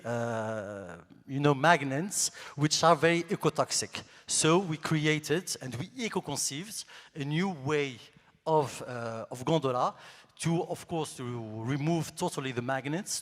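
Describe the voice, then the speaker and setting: 50s guy walking students through his career, earnest and orderly, mid-pitch voice, long hall echo. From born in Nigeria, grew up in Greece. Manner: earnest, methodical, lists facts step by step. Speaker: luxury executive presenting to students. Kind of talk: conference presentation. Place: large conference hall.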